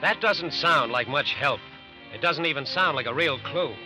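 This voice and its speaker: An actor as the earnest, dramatic narrator of an old radio crime drama, emphatic and deliberate. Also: male voice, fairly high pitch, music in the background, natural voice, rough recording. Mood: urgent, factual. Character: earnest, dramatic male narrator of FBI manhunt. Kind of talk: scripted narration, 1940s-50s radio drama.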